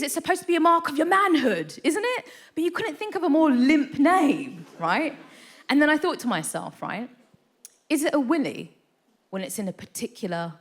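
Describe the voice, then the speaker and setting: A woman performing live stand-up comedy in a conversational way, often tagging her lines with "right?". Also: on the high side. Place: on stage in a radio theatre. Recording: live theatre with audience noise. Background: audience.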